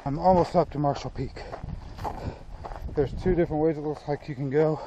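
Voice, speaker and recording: A man in his thirties with an American accent, chatting casually and off the cuff to an action camera at arm's length, in a laid-back, plain-spoken way with pauses mid-phrase. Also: fairly high pitch, steady tone, dull audio. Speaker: outdoor vlogger. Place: outdoors on an open hilltop trail. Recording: noisy outdoor action-camera audio.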